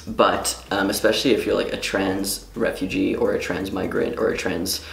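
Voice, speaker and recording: A man's voice: low voice, flat delivery, normal speed, vocal fry, fairly bright tone, roomy sound. A young man from Quebec whose first language is French, chatting casually in a rambling, self-interrupting vlog with some swearing. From Quebec, Canada. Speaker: vlogger. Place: room at home.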